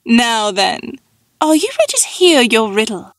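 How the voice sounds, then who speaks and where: A woman's voice: fairly high pitch, a little slow, no echo. A woman playing an ancient, all-knowing sphinx in a fantasy audiobook, speaking in a dreamy, formal drone with cool menace. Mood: imperious. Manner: dreamy, droning monotone, formal and cryptic.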